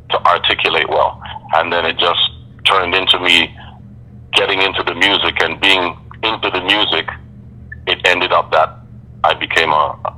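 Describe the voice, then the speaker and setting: A man being interviewed as a guest MC, speaking in a deliberate, reflective way with measured phrasing. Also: sits low, sharp tone, rough recording. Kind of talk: podcast interview.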